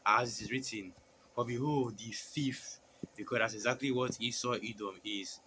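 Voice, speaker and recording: A man with a hoarse voice from a sore throat, preaching a sermon and reading scripture in a halting way, with many fillers and restarts. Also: medium pitch, bright, forceful tone, a little hiss or hum, poor audio.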